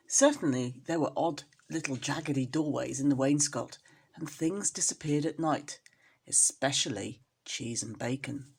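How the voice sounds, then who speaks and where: A woman storyteller reads to children in a lowish, husky voice. Her delivery is expressive, with intonation that rises and falls widely.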